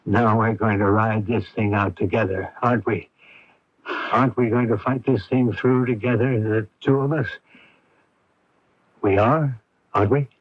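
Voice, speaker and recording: A man playing a middle-aged, devoted, anxious father in a radio drama, speaking in a halting, earnest, plain-spoken way. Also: fairly low pitch, steady tone, breathy edge, dry recording. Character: devoted, anxious, simple-minded father of ailing daughter. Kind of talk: 1980s radio drama acting with first-person narration. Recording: dull lo-fi AM radio recording.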